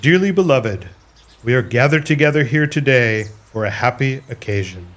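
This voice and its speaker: An actor as a solemn clergyman in an audio drama. He has a medium-pitched voice and intones in a formal, ceremonial way. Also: lively intonation, normal speed, lightly acted. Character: solemn clergyman officiating a wedding.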